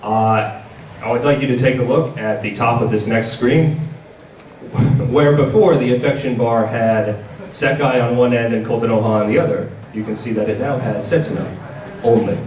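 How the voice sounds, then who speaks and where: A man giving a convention panel presentation as a game publisher's presenter, speaking in a halting, hesitant way. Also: mid-range voice, fairly even intonation, darkish tone. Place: large indoor convention panel room. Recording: echoey convention hall, distant mic, audience noise. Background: audience crowd.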